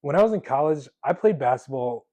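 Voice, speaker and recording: A man's voice: a little high, lively intonation, fairly quick, warm tone, dry recording. Young male podcast host, conversational, dry close sound, filler-heavy delivery. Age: 20s. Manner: chatty, many fillers, laughs easily. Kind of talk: casual conversation.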